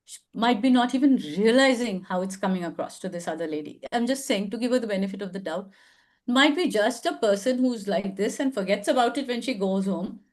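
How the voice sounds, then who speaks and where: A woman's voice: medium pitch, lively intonation, fairly quick, a little mellow. An older woman with an Indian English accent, hosting a casual advice discussion, thoughtful and hedging, with many fillers. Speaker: advice show host.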